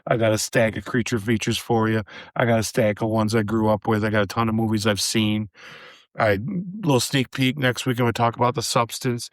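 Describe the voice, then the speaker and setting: A man hosting a solo horror movie review podcast, chatty and casual, calling his listeners guys, his voice a little hoarse from a recent cold. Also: on the low side, level tone, brisk, dry recording. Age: adult with a daughter. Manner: chatty, addresses listeners as guys, many fillers. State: slightly hoarse, recovering from a cold.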